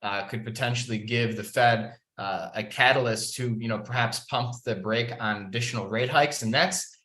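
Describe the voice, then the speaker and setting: Bright-voiced man hosting, roomy echo. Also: medium pitch, normal speed. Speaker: podcast host. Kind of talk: casual market commentary interview. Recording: roomy, echoey sound.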